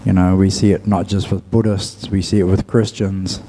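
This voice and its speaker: Man, Buddhist monk teaching, fairly even intonation, slightly soft dark tone, measured phrases with long pauses, faint background hum. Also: low voice. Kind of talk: dhamma talk to an audience.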